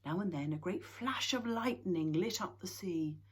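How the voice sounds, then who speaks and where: Lady reading a kids story, super breathy husky voice, lots of whispering, lowish pitch. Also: animated, normal speed. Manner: hushed, often whispered narration.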